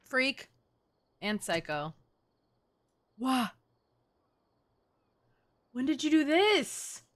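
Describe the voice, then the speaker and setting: A woman streamer giving casual, excitable, exclamatory live gaming commentary, swearing freely. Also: high-pitched, extremely slow. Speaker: video game streamer.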